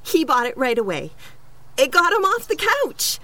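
An actress playing a superhero's deadpan, self-justifying girlfriend, confiding in monologue in a comedic audio drama. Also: sits high, moderate pace, exaggerated voice. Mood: unrepentant confession.

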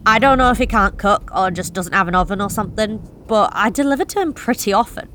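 A woman's voice: mid-range voice, animated, fast talker, a bit bright, a little hiss or hum. Young woman, horror story narration, wry, confiding, mid-range voice. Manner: wry, confessional, conversational. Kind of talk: first-person horror story reading.

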